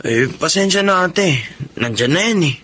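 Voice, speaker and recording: A man's voice: fairly high pitch, animated, normal speed, pushed and bright. A man with a Filipino accent playing a young, sheepish yet cocky gambling little brother in a radio drama. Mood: sheepish, guilty.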